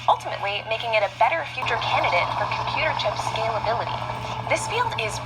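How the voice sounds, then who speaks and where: A woman's voice: fairly high pitch, sing-song, fast, low-quality recording. Upbeat woman narrating a science explainer. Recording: clear music bed underneath.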